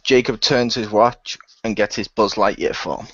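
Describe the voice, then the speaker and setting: A man's voice: lowish voice, fairly even intonation, moderate pace, slightly rough, no room sound, muffled recording. A man narrating the action of an amateur radio play as one of its performers.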